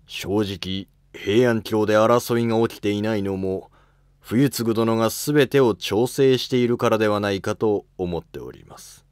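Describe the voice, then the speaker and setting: A man acts a loyal, deferential aide at an imperial court in a historical drama. He speaks formally and respectfully in a low voice with a dry, close sound. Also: a little slow, darkish tone, clean tone, no echo, natural voice. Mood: earnest. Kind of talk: amateur historical drama dialogue.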